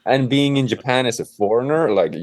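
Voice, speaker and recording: A man's voice: medium pitch, brisk, no echo. A man with a Swedish accent, a native Swedish speaker, talking casually and loosely as an interview guest. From Sweden. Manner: relaxed, loose, leans on fillers. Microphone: remote call.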